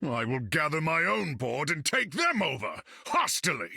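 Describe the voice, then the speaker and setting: A man's voice: fairly high pitch, huge pitch swings, normal speed, vocal fry, sharp tone, husky, dry recording, clean studio sound, exaggerated voice. A man voicing a proud thunder god warrior in a video game, speaking in a grand, formal, theatrical way. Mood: proud, gruff. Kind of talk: video game character acting.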